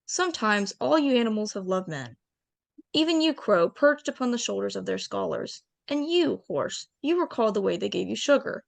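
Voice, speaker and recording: A woman voices a heartfelt female bear addressing a council of animals in a homemade radio play. Her earnest, pleading voice rides a lively, wide-ranging pitch and drops into gravelly low notes. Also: heavily acted. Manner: earnest, pleading speech-making. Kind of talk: amateur radio drama table read.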